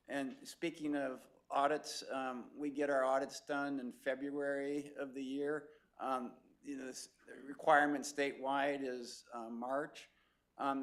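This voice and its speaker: A middle-aged man introducing himself as a city manager candidate at a public council meeting, talking conversationally with fillers. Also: mid-range voice. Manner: conversational, with fillers and short laughs. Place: council chambers.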